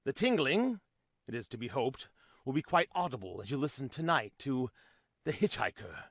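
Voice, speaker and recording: A male host delivers a scripted, theatrical introduction. His intonation swings widely, his phrasing is droll and deliberate, and the sound is close and dry. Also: mid-range voice, sing-song, a bit bright, no room sound. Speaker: host introducing a radio play.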